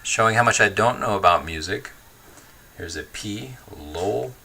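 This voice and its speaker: A man hosting a livestream, chatting casually and reading viewer comments in a relaxed, easygoing way, laughing often and thinking aloud with fillers. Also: fairly low pitch, a little slow, creaky, noisy background. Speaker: livestream host.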